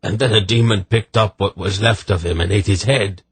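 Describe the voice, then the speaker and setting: A man's voice: on the low side, steady tone, fairly quick, slightly rough, no echo. A man voicing a terrified, half-mad soldier in a storybook, pleading and fearful. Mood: horrified. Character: terrified, crazed young soldier, pleading for death.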